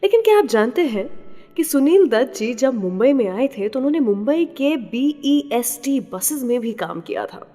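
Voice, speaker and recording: A woman's voice: high-pitched, expressive, moderate pace, mellow. A woman with an Indian accent hosting a scripted radio show, speaking straight to the listeners. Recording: long hall-like reverb.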